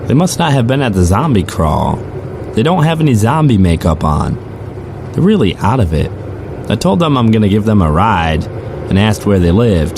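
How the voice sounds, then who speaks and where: Low-voiced, animated man acting as rideshare driver, noisy backdrop. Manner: casual, sardonic, conversational. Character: chatty wry male rideshare driver telling his strange night. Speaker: narrator and lead character. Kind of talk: first-person audio drama storytelling.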